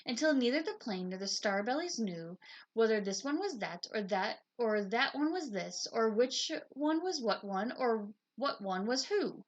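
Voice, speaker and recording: A woman reads a children's picture book aloud as narrator. Her voice sits fairly high and moves up and down with very lively, expressive intonation. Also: unhurried, dull audio.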